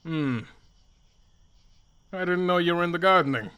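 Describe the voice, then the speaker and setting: Man acting a bright-voiced, laid-back wry skeleton. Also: sits high, normal speed, clear, resonant tone. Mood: casual, probing. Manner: casual, dry, needling. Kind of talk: fan comic dub acting.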